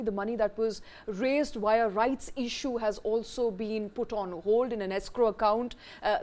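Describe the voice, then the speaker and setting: A woman's voice: medium pitch, a little mellow. A woman with an Indian accent anchoring live news, moving between a scripted lead and questions to guests.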